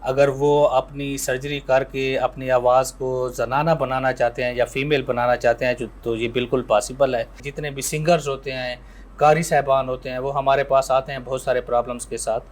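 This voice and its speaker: A man with a Pakistani accent, an ENT surgeon giving measured explanations in an interview and mixing in English terms. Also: mid-range voice, level tone.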